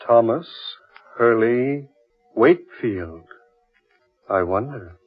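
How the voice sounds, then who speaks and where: Lively husky gent, breezy and scoffing, old radio horror play, muffled. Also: male voice, medium pitch, slow. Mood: pensive. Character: breezy, scoffing gentleman skeptic. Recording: muffled old radio recording, music behind.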